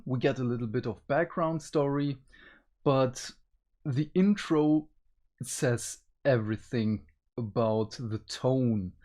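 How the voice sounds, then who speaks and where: Slow-talking man reviewing casually. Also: medium pitch, dark tone. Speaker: co-host of an animation review show.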